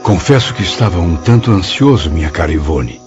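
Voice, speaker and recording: An actor playing a polite, curious apprentice spirit recounting memories in a radio drama, formal and literary. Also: male voice, low voice, expressive, brisk. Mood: courteous, reflective. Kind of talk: radio drama acting and narration. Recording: slightly muffled radio drama with music.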